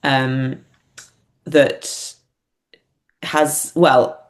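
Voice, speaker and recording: A woman's voice: extremely low pitch, little pitch movement, drawn-out words. A woman talking candidly and reflectively in a casual interview, thinking aloud as she goes. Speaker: podcast guest, playwright and performer.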